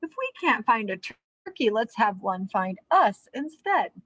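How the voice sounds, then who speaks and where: A woman reading the part of a cheerful, scheming townsman in a children's storybook, declaring his plans brightly and persuasively. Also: on the high side, sing-song, a little slow, heavily acted. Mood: eager, scheming. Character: scheming, cheerful townsman, eager to trap a turkey.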